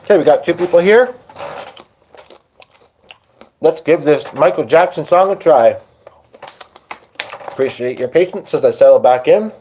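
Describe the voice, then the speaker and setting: A man's voice: a little high, expressive, unhurried, pauses often, dark tone, slight background noise. A man live-streaming from home at his piano, chatting loosely to his viewers as he sets up to play. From Hungarian. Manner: rambling, talks to viewers while setting up. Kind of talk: casual live-stream chat. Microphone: phone.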